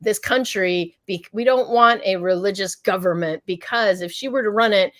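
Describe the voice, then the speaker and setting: A woman's voice: medium pitch, moderate pace, dry recording. A middle-aged woman doctor answering interview questions in a forthright, emphatic way, laughing between points. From United States. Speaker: doctor and company founder, guest.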